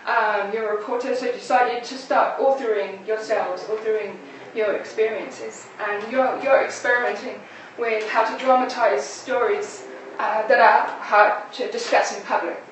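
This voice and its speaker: A woman's voice: on the high side, steady tone, moderate pace. Woman interviewer in a very echoey, distant room. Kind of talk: informal live interview. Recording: echoey, distant live stream, faint noise.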